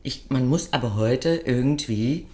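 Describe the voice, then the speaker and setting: A woman chatting casually as a podcast co-host, telling a story in a lively, self-mocking way, her nose stuffed up from a cold. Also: a bass voice, a little slow. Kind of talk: casual chat.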